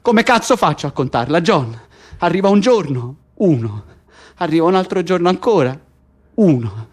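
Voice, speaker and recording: A man's voice: a little high, big pitch swings, moderate pace. An actor playing a bitter, despairing political prisoner in intense naturalistic radio theatre, insistent and repetitive as he addresses his cellmate. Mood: angry, desperate.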